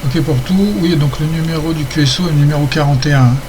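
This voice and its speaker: A man's voice: fairly high pitch, quick pace. A man chatting casually as an amateur radio operator, rambling and hesitant. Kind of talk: casual ham radio chat. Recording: noisy amateur radio session recording.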